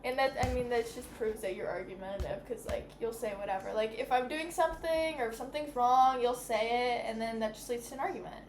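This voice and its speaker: A woman's voice: fairly high pitch, fast talker, few pauses. A woman from Quebec, Canada, chatting casually and informally as the game partner on a livestream. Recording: roomy echo with clear background noise.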